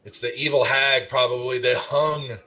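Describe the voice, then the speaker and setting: A man voicing a grumpy old fisherman with a taste for drink, speaking in a gruff, folksy manner during a tabletop game. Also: medium pitch, fairly even intonation, normal speed, bright, forceful tone, voice pushed up, lightly acted, rough recording. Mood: grim.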